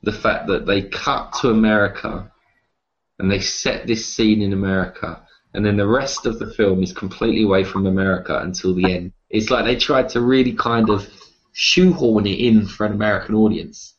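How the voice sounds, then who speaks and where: A man chatting casually as an interview guest about what he likes, halting and repeating words as he goes. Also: fairly low pitch, steady tone, lo-fi sound. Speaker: interview guest, horror writer and publisher. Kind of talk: casual conversation about horror films.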